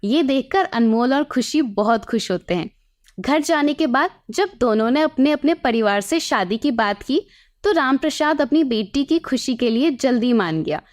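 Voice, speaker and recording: A woman with a fairly high-pitched voice narrates a scripted moral story. She speaks as the storyteller, telling the tale in the third person. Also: darkish tone.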